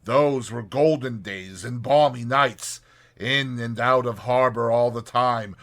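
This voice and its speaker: A man's voice: mid-range voice, takes it slowly, fairly bright tone. A man voicing a worldly, boastful seafaring rat who savors old voyages with grand storytelling flourishes, in a dramatized audiobook. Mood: nostalgic, relishing. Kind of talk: dramatized audiobook character reading.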